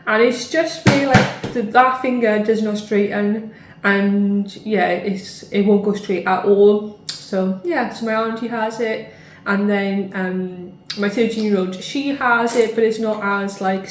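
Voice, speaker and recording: A woman's voice: mid-range voice, echoey room. A woman in her thirties with a Welsh accent, chatting casually to camera at home in a rambling way with lots of fillers. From Wales. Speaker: vlogger.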